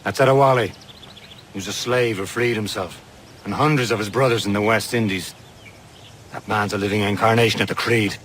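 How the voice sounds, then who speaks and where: An actor playing a loyal, supportive first mate, friendly in manner, in a video game. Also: male voice, lowish voice, steady tone, a little fast, long pauses, a bit bright, a little hiss or hum, close to an everyday voice. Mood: respectful. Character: loyal, encouraging male first mate and comrade. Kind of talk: video game cutscene acting.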